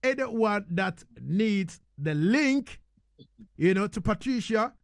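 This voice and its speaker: Male radio host, high voice, very dry sound, effusive slangy interviewing. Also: lively intonation, takes it slowly, no room sound. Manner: effusive, slangy, repeats phrases. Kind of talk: casual, enthusiastic radio interview.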